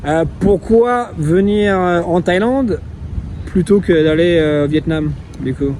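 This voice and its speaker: A man hosting a casual interview outdoors, relaxed and chatty with a bit of slang. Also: high-pitched, measured pace, a little hiss or hum. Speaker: interviewer, channel host.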